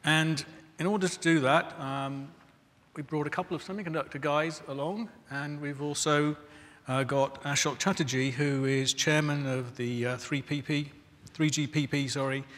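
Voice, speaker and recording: A man moderating a conference panel, relaxed and chatty, correcting himself as he goes. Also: medium pitch. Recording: echoey conference hall.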